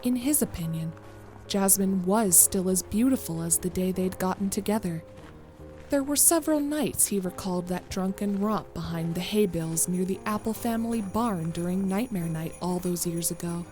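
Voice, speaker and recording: A woman's voice: on the low side, normal speed, warm tone, faint music behind. A woman narrator reading a scripted story.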